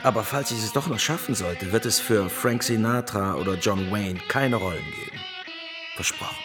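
An actor as an earnest war veteran writer with a lowish male voice. He sounds hesitant and conciliatory, in radio play dialogue. Music is clearly heard behind him. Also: animated, normal speed, close to an everyday voice. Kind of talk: radio drama dialogue acting.

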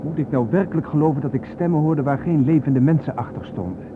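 A man's voice: on the high side, fast talker, natural voice. An actor playing a puzzled, skeptical man in a radio play, questioning with incredulity. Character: skeptical, puzzled male companion named Ben, questioning everything. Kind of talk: Dutch radio play acting. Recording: noisy, narrow-band old radio recording.

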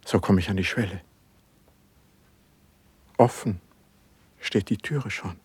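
An actor playing a brooding, suspicious and passionate poet in a classical verse radio drama, with declamatory, measured diction and a husky voice. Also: male voice, fairly low pitch, darkish tone, dry recording, exaggerated voice. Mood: brooding, dreamy.

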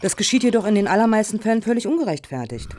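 A woman playing a composed, courteous head of a foundation in a satirical radio play, speaking in a formal, measured, officious way. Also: mid-range voice, big pitch swings, background noise, natural voice. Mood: earnest, official.

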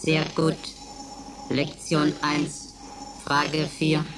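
A woman's voice: sits low, completely flat delivery, very slow, noisy background. An actress playing an emotionless female computer in a radio play, announcing in clipped, formulaic phrases. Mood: flat, procedural. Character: emotionless female computer running roll calls and quizzes.

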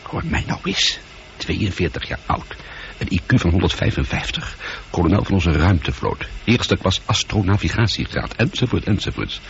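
Gravelly, moody space colonel in his forties. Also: low voice, very animated, normal speed, fairly bright tone, heavily acted. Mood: rueful. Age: 40s. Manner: sardonic, moody, grumbling. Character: bored, irritable, teasing 42-year-old space colonel and pilot. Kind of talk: radio drama acting. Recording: dull, noisy old radio recording.

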